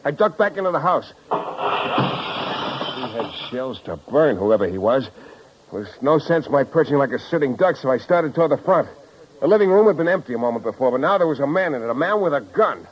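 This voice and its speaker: A man's voice: mid-range voice, animated, fairly quick, slight background noise, natural voice. An actor playing a hard-boiled, wisecracking private detective, with wry, clipped tough-guy delivery in a 1950s radio drama style. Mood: tense, narrating. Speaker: lead actor and narrator. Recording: dull old 1950s radio recording.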